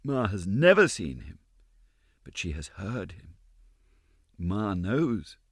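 A man's voice: sing-song, slow pace, husky, no echo, studio quality, heavily acted. A man voicing a frightened young ballet girl in a storybook reading, tearful and breathless. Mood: hushed insistent. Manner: tearful, breathless, high-pitched.

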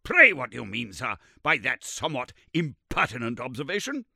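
A man voicing an irritable, sarcastic elderly male professor who scolds in a shrill, indignant voice. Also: wildly swooping pitch, moderate pace, vocal fry, bright, forceful tone, slightly rough, no room sound, clean studio sound, exaggerated voice. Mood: furious.

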